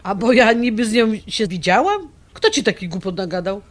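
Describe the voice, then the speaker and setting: A woman's voice: mid-range voice, sing-song, brisk. A woman playing a manipulative, melodramatic mother of a grown son in an audio drama, scolding and wheedling theatrically. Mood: scolding, evasive.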